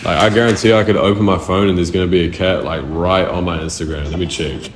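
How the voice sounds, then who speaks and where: Young New male artist, very deep, swears freely, echoey room. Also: steady tone, quick pace. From New Zealand. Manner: loose, slangy and profane. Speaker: music artist. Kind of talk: casual answers to interview questions. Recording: roomy echo.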